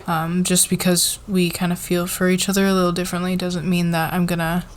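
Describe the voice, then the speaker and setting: A woman playing a flustered, fair-minded company boss in a casual roleplay ASMR, with a halting, informal, giggly manner. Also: lowish voice, level tone, close to an everyday voice. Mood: earnest, reassuring.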